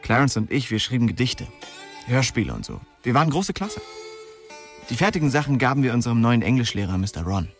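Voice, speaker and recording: A man's voice: mid-range voice, big pitch swings, a little fast. An actor playing a cocky, restless young man who narrates his story in a slangy, streetwise radio drama style. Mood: cool, boastful. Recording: music behind the voice.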